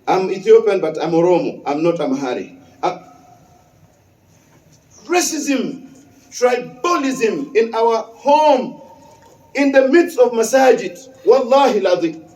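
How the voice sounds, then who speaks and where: A man's voice: sits high, expressive, slow, long pauses, darkish tone. A man, an imam delivering a Friday sermon in an emphatic, repetitive preaching manner. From West Africa. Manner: emphatic, repeats key phrases. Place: mosque prayer hall. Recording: echoey mosque hall, distant mic.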